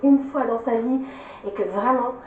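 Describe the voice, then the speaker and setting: A woman speaks earnestly and a bit haltingly, in a fairly high voice. She sounds far from the mic in an echoey room, thinned like a phone call. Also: a little hiss or hum. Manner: earnest, with hesitations. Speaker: reality TV contestant giving advice.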